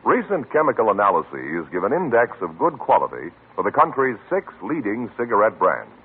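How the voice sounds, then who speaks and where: A man's voice: fairly low pitch, wildly swooping pitch, normal speed, husky, phone-quality sound. A man announcing formally on an old radio broadcast with an emphatic, declamatory delivery.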